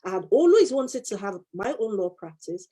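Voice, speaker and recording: A woman's voice: medium pitch, big pitch swings, moderate pace, mellow, slightly rough. A woman in her fifties, a life coach and former solicitor, giving an earnest motivational talk and repeating her key phrases for emphasis.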